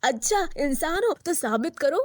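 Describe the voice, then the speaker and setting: A woman's voice: high voice, animated, moderate pace, dry recording, studio quality, pitched above the performer's own voice. A woman voicing a wary, protective village father in a story, speaking sternly and emphatically. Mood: defiant, wary.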